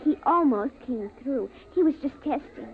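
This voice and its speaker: A woman's voice: high-pitched, expressive, moderate pace. An actress playing a willful, breezy little girl in a 1950s radio drama, childish, offhand and defiant. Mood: matter-of-fact. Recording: tinny, noisy vintage radio recording.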